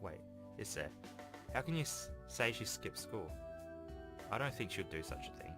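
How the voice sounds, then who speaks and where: A man's voice: on the low side, sing-song, moderate pace. A man voicing an earnest, defensive teenage schoolboy who stammers and protests as he reads a visual novel. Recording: voice over game music.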